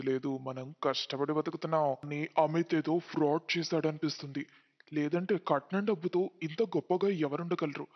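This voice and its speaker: A man's voice: on the high side, close to an everyday voice. A man playing an envious, suspicious young village man gossiping in a cartoon story. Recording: muffled, long hall reverb.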